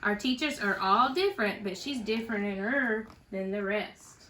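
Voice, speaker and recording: A woman reading a children's storybook aloud in an expressive, teacherly way, with chatty asides and questions to a young listener. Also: mid-range voice, moderate pace, low-quality recording. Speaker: parent reading a storybook aloud for a school read-aloud.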